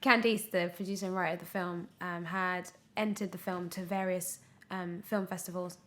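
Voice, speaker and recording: A woman's voice: fairly low pitch, fairly even intonation, moderate pace. A British woman in her twenties giving a casual podcast interview as a young actor, emphatic and often breaking off to restart her thought. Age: 20s.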